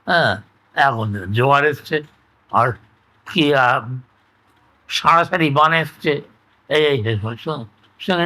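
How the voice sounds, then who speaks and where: An older man with a West Bengal accent speaks in a bright, forceful voice pitched a little high. He explains conversationally, repeating himself and halting as he searches for the next phrase. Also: rough recording. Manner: halting, repetitive explaining with frequent tag words. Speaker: interviewee recounting his experience. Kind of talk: conversational oral-history reminiscence.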